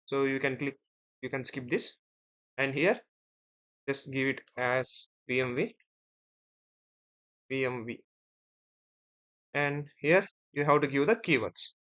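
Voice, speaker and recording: A man teaching as an instructor in a screen-share software tutorial, explaining step by step and often checking in with short tag words. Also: mid-range voice, slow pace, long pauses. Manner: step-by-step, often ending phrases with "right?" and "okay".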